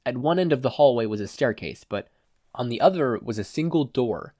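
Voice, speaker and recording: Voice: mid-range voice, animated, fairly quick, mellow, no room sound, clean studio sound. A narrator reading fan fiction as a scripted audiobook.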